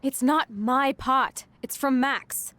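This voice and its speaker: A woman's voice: high voice, fairly even intonation, slow pace, sharp tone, dry recording, full character voice. An actress playing a rebellious, foul-mouthed teenage punk girl in a video game, brash, slangy and sarcastic. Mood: defiant, angry.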